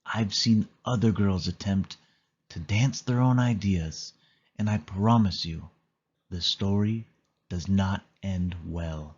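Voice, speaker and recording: A severe ballet mistress from a children's storybook, as a male narrator puts her on. She scolds in a husky voice, stern and admonishing. The sound is a little dull. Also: low voice, slow pace, darkish tone, full character voice. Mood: stern, warning. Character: strict, stern female ballet teacher.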